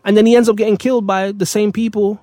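A man's voice: high voice, level tone, quick pace, warm tone. A man co-hosting a casual talk, reflective and repeating phrases as he thinks. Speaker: podcast co-host. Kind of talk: casual chat.

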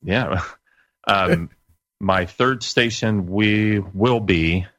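A man in his late forties talking casually as an interview guest, with a deliberate, emphatic and jokey manner. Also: lowish voice, fairly bright tone, no echo. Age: late 40s. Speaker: guest, internet radio broadcaster.

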